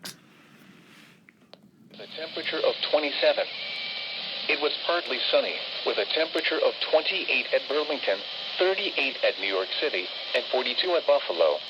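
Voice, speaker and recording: A man's voice: mid-range voice, lively intonation, normal speed, long pauses. A synthetic man's voice reading a formal, scripted weather forecast as an automated radio announcer. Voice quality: synthetic text-to-speech voice. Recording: muffled radio broadcast with loud static. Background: radio static.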